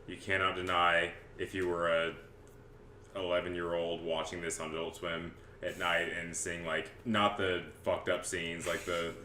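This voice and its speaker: Low-voiced young man in an echoey room. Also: unhurried. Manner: excitable, profane, stammering repeats. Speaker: podcast host. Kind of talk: casual film podcast banter. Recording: echoey room, clear background noise.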